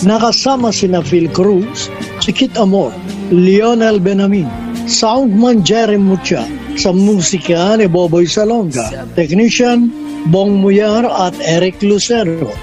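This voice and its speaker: A man's voice: high voice, takes it slowly, music in the background. A man with a Filipino accent announcing scripted radio programme credits as host.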